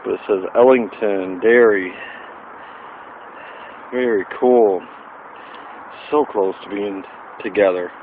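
A man chatting excitedly while digging for old bottles in the woods, recorded on a handheld camera. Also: fairly low pitch, slow, pauses often. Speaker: metal detecting vlogger. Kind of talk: casual outdoor vlog narration. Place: wooded area outdoors. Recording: tinny lo-fi camera audio with noise.

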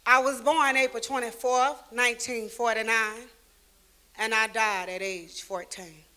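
A woman playing a young girl, telling the story gently into a handheld mic. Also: fairly high pitch, fairly even intonation, unhurried. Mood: reflective. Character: young girl victim, calm and reflective. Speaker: actor in a church skit.

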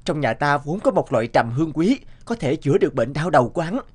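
A man's voice: a little high, expressive, fast talker, slightly rough. A man voicing an eager, respectful and brave young village son in a cartoon. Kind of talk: Vietnamese cartoon dubbing.

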